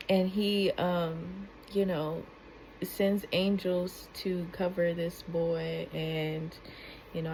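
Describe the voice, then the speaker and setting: Soft-spoken woman, slow earnest commentary. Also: lowish voice, a little hiss or hum, poor audio. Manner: earnest, rambling with many restarts and fillers. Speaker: commentary vlogger. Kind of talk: casual commentary.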